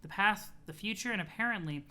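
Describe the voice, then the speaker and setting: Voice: mid-range voice, animated, fairly quick, bright, forceful tone, clear, resonant tone, pitched above the performer's own voice. A streamer voicing an earnest, cheerful young girl who is chatty and sweet as she reads out a letter.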